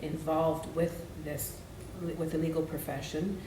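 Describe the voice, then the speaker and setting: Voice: mid-range voice, level tone, a little slow. A moderator chairing a roundtable in a courteous, organizing manner. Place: meeting room roundtable. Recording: distant mic, echoey room, hiss.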